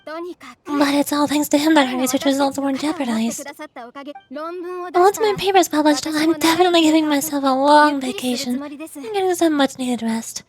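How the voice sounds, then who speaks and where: A woman's voice: sits high, normal speed, music in the background, lightly acted. A woman voicing an earnest, relieved young scholar in game dialogue read aloud on a livestream. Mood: relieved, hopeful. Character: relieved, overworked young scholar finishing a thesis.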